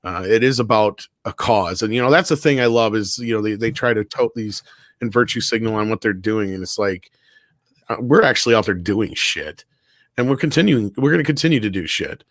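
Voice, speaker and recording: A man's voice: fairly low pitch, expressive, a little fast, a bit bright, dry recording. Blunt, sweary man in dry close sound. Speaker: online show co-host. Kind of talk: casual opinionated panel chat.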